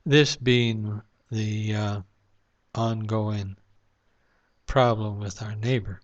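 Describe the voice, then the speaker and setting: A man's voice: fairly low pitch, fairly even intonation, very slow, highs cut off. A man in a casual, reflective monologue to camera at home at night, rambling with lots of fillers. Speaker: vlogger. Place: home room at night, lamp-lit.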